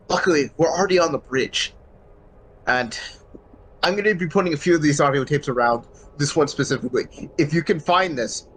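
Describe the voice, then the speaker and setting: A man playing a shaken but resolute starship medical officer in a sci-fi roleplay audio log, speaking gravely and haltingly. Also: fairly high pitch, fairly bright tone, slight background noise, natural voice, low-quality recording. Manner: halting, grave, stammering under strain.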